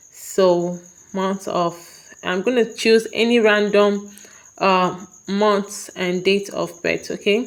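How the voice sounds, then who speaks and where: A woman's voice: mid-range voice, poor audio. A young woman with a Nigerian accent, patiently guiding viewers step by step through an online form and checking in with "okay?". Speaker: tutorial vlogger. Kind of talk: step-by-step screen walkthrough.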